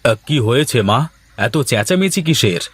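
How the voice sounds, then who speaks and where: A man's voice: mid-range voice, lively intonation, normal speed, fairly bright tone, breathy edge. A man playing a weary village husband and son in a cartoon, with an earnest, plaintive way of talking. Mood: exasperated. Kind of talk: Bengali cartoon dialogue acting.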